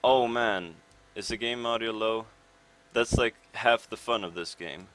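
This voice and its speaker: A young Swedish man with a Swedish accent commentating his speedrun in a relaxed, rambling way, with many pauses and fillers. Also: lowish voice, rough recording. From Sweden. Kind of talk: casual live commentary over gameplay. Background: game music.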